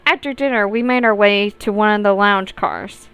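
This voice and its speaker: A woman's voice: mid-range voice, moderate pace, fairly bright tone, smooth, soft background music. A woman reading a visual novel's narration and dialogue aloud as she plays through it. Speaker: gaming video host reading aloud.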